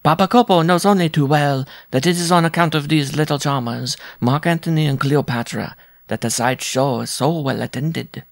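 Guy doing an older-style matron, high voice, huffy and self important, circus storybook lady. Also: normal speed, vocal fry, no room sound, clean studio sound. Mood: indignant. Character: indignant, doting matronly snake-charmer woman. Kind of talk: theatrical audiobook character voice.

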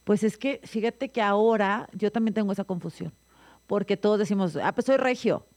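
A woman's voice: mid-range voice, darkish tone. Curious woman in casual chat. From Mexico. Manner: curious, asks lots of questions, quick reactions.

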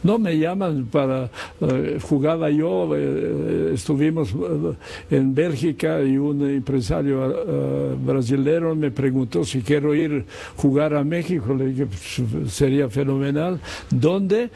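A man in his sixties with a Serbian accent, a former football coach recalling his career in a casual interview, halting and full of fillers. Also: fairly high pitch, vocal fry, mellow, slightly rough. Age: 60s. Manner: halting, many fillers and repeated words.

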